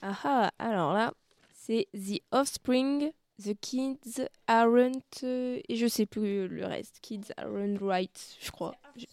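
Playful young woman with smooth voice. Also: on the high side, slow, darkish tone, dry recording. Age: 20s. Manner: hesitant, playful, lots of hmms. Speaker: interviewee, youth center activity leader. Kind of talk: casual radio interview. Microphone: close studio mic.

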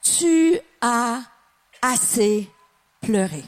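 A woman's voice: on the high side, animated, very slow. A middle-aged woman from Canada with a Québécois French accent, preaching a sermon with passionate emphasis, building to exclamations.

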